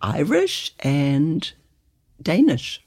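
A woman in her sixties with a New Zealand accent talks on a podcast, thoughtful and a little hesitant. Her voice is very low and husky with a warm, mellow tone, and it rises and falls widely. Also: huge pitch swings, extremely slow. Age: 60s. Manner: thoughtful, hesitant, repeats questions. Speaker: podcast guest, sex coach. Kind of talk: casual interview chat.

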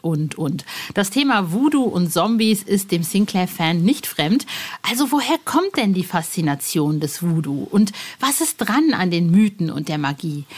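A woman presents a podcast in a chatty, informal style. She talks straight to her listeners with a playful touch, in a voice of medium pitch. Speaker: podcast host.

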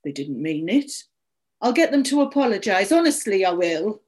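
A woman's voice: mid-range voice, big pitch swings, moderate pace, a little mellow, close to an everyday voice. A woman voicing a nervous, apologetic teenage boy in a story, meek and hesitant, with a stammer. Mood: earnest, defensive.

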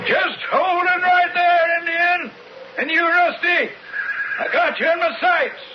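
A high, blustering Western boss. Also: male voice, fairly even intonation, unhurried, over music. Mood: menacing. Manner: blustering, commanding. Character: vindictive, bullying Western rancher boss, cruel man. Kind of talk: radio drama acting. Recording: muffled, noisy old radio broadcast.

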